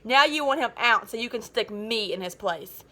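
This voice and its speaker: A woman's voice: on the high side, animated, normal speed, sharp tone. A woman voicing a nerdy middle-school boy in a storybook, resentful, defensive and sneering. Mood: indignant.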